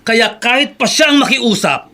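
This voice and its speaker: An actor playing a stubborn, proud older brother in a Filipino radio drama, emphatic and defiant. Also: male voice, very high voice, animated, moderate pace, heavy background noise. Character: stubborn proud suspended employee, older brother, given to drinking.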